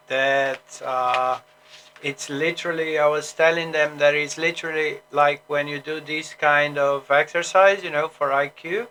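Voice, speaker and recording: A man's voice: fairly high pitch, pushed and bright, slight background noise, rough recording. A man from Brazil with a Brazilian Portuguese accent, an English teacher rambling casually on a solo stream with many fillers and restarts.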